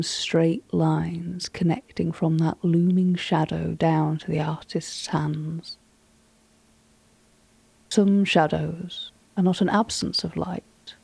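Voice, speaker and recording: A woman's voice: lowish voice, vocal fry, mellow, breathy edge, no echo, exaggerated voice. A woman playing an anxious, wordy narrator confiding strange events in an audio drama monologue. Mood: measured, ominous. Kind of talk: audio drama monologue, recorded-tape account.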